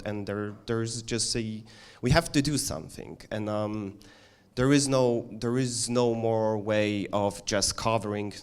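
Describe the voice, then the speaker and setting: A man's voice: lowish voice, clear, resonant tone. A man, a journalist and activist who grew up in eastern Poland, answering thoughtfully on a conference panel with many fillers.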